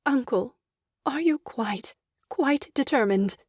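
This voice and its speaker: Melodramatic, wronged young wife. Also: female voice, sits high, animated, slow pace, husky, no echo, clean studio sound, full character voice. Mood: pleading. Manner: melodramatic, plaintive. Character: wronged young wife, theatrically self-dramatizing niece. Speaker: voice actor. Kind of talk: stage farce audio drama acting.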